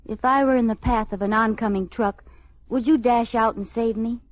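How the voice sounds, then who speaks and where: Woman acting as coy scatterbrained wife, high voice, teasing, vintage radio comedy, muffled recording. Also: steady tone, normal speed, heavy background noise, lightly acted. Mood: playful, wheedling. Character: Liz, playful, scatterbrained, affectionate housewife.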